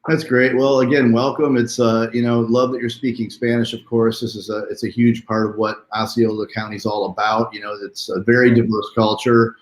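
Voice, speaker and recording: Male interview host, welcoming and conversational, mid-pitched voice, roomy echoing sound. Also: flat delivery, fairly quick, hardly any pauses. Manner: chatty, welcoming. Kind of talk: live online interview, conversational.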